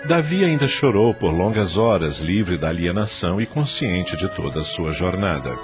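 A man's voice: sits low, big pitch swings, moderate pace, over loud music. A man with a Brazilian accent narrating a scripted radio drama in a solemn, dramatic storytelling manner.

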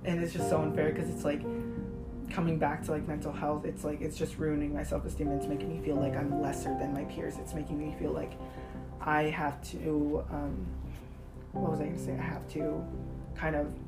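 Low-voiced teenage girl over music, native Spanish speaker. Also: little pitch movement, moderate pace. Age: teens, high school student. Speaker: podcast co-host. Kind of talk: casual chat.

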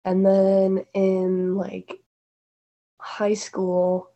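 A teenage girl chatting casually in a group, full of fillers and quick asides. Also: medium pitch, completely flat delivery, dull audio. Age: teens. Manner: chatty, filler-heavy, giggly.

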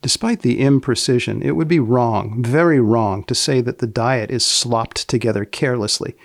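Male podcast host, wry and deadpan, precise scripted delivery, some fry in the voice, very dry close sound. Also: mid-range voice, normal speed, dry recording. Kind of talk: scripted humorous narration with questions to a co-presenter.

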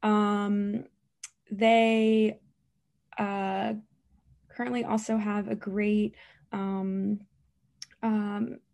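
A woman's voice: mid-range voice, flat delivery, very slow, creaky, pauses often, darkish tone. A woman who stutters, a speech-language pathologist answering interview questions in an earnest, emphatic way, with frequent repetitions and blocks.

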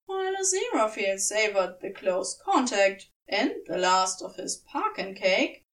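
A woman reading scripted fiction as an audiobook narrator, with a measured, storybook-like delivery. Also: mid-range voice, very animated, fairly bright tone, recorded from a distance. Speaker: fan fiction audiobook reader.

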